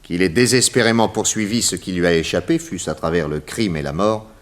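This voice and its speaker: An actor playing a confident detective boss in a 1960s French radio serial, explaining in an emphatic, didactic, theatrical way with dramatic pauses. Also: male voice, deep voice, natural voice. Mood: dramatic, explanatory. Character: confident male detective boss explaining crimes to his assistant.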